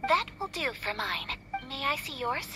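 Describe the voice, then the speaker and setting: A woman's voice: high voice, very animated, normal speed. A woman voicing a gracious young noblewoman in a video game, speaking politely, formally and with earnest composure. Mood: tender, earnest. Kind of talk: video game character dialogue. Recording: game music behind voice.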